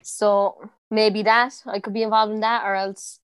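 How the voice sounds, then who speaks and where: Woman with a strong Cork accent, clear and dry. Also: mid-range voice, measured pace, dry recording. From Ireland. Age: 20s. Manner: relaxed, many fillers and restarts. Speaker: interviewee, footballer. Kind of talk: casual interview.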